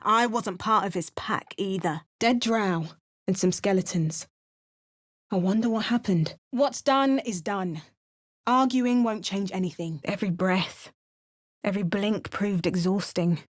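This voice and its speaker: A woman's voice: mid-range voice, a little slow, no room sound, clean studio sound. A woman voicing an adventurer's lines in a video game, with wry, understated asides and narration. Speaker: video game player character.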